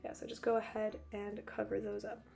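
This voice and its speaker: A young woman with a hoarse voice, still recovering from losing it, narrating a cooking video in a chatty, joking way with frequent laughs. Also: mid-range voice, monotone, a little fast, darkish tone, faint music behind. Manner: chatty, joking, laughs often, rambling asides. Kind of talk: casual voice-over narration.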